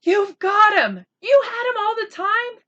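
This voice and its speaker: An indignant young boy from a children's storybook, acted by a woman reader, exasperated and emphatic. The pitch is high and swings widely, on a slightly dull recording. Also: animated, measured pace, pushed and bright, exaggerated voice. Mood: excited outrage. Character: angry, indignant young boy accusing his friend. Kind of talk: children's storybook read-aloud.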